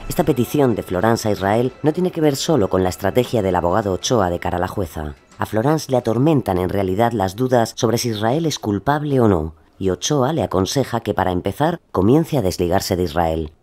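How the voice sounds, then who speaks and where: A man's voice: fairly low pitch, animated, a little fast, few pauses, darkish tone. A man delivering scripted, dramatic narration for a true-crime audio documentary.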